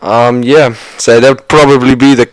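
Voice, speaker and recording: A man's voice: mid-range voice, steady tone, normal speed, dry recording, lightly acted, poor audio. A man playing a boastful fake war veteran. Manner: boastful, offhand. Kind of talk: comedy podcast bit.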